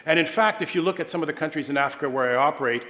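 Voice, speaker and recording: A middle-aged man from Kenya moderating a conference panel, formal and measured, with frequent fillers and self-corrections. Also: fairly high pitch, pushed and bright. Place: large conference hall. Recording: long hall reverb.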